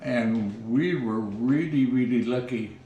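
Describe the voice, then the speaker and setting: A man in his nineties from Minnesota, reminiscing in an interview at home, halting and repeating words as he goes. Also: mid-range voice, roomy sound, distant mic, phone-quality sound, slight background noise. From Minnesota, USA. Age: 90s. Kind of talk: oral history reminiscing. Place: living room at home.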